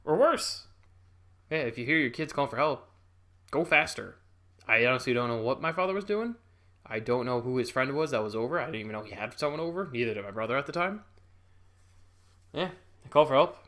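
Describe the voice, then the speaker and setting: A man's voice: mid-range voice, animated, a little fast, pauses often, a bit bright. A young man casually telling a childhood story, rambling and interrupting himself with chuckles.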